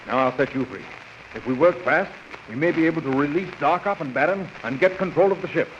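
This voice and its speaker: An actor playing a bold, brave young space hero in a 1930s radio serial. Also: male voice, a little high, a little fast, lightly acted. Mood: determined. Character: bold young heroic Earthman adventurer, brave and defiant. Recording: muffled noisy old radio recording.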